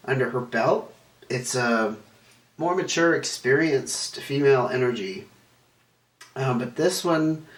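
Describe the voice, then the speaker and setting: A man's voice: medium pitch, fairly bright tone. A man with a stuffy, nasal voice from a cold, chatting casually through a tarot card reading and wandering as he talks. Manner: meandering, chatty, self-correcting. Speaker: tarot reader. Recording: echoey room, distant mic, faint noise.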